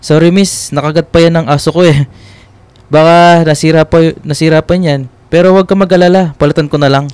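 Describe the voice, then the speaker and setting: Actor as a friendly, hesitant young Filipino man for radio romance, smooth voice, close dry sound. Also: a little high, fairly even intonation, a little fast, darkish tone, no room sound, lightly acted. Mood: shy, friendly. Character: polite, shy, friendly young man in a love story. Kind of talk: radio drama acting.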